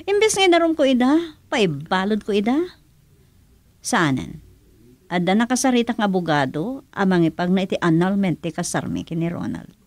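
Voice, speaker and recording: A woman's voice: mid-range voice, big pitch swings, moderate pace, pauses often, a little mellow, no echo, lightly acted. A woman acting a resolute, bitter wronged wife in an Ilocano radio drama. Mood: bitter, determined.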